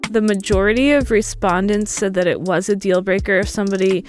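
A woman in her early thirties chatting casually as an interview guest, explaining things in a thoughtful, halting way. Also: medium pitch, steady tone, faint music behind. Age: early 30s. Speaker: podcast guest.

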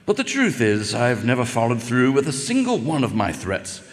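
A man playing a pompous, fiercely protective doctor and father in a live radio mystery, loud and blustering. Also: medium pitch, wildly swooping pitch, normal speed. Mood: blustering, confessional. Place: live performance hall. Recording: reverberant stage in a large hall.

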